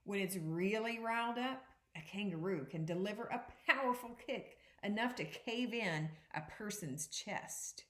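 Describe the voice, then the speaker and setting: A woman reading a children's picture book aloud as a second-grade teacher, with deliberate, explanatory phrasing. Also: mid-range voice. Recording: echoey room.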